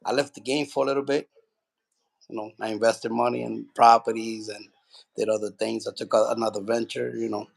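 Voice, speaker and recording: A man from Puerto Rico who trains boxers speaks in a mid-pitched, gravelly voice. He tells his story loosely and casually, circling back with plenty of fillers. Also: brisk. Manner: relaxed, rambling storytelling with many fillers. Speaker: boxing trainer. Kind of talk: casual interview.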